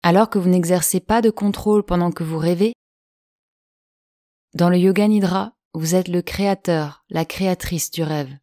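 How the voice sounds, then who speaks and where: A woman guiding a yoga nidra relaxation in French, speaking slowly and calmly with long pauses. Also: on the low side, no echo, clean studio sound. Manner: slow, calm, soothing delivery with long pauses. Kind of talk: guided relaxation instruction.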